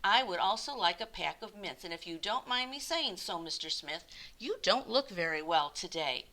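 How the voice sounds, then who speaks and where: A woman's voice: medium pitch, animated, moderate pace, sharp tone. A woman voicing a shy, lonely storybook man who is earnest, courteous and eager to help. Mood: polite, eager. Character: shy lonely grown man turning delighted and helpful.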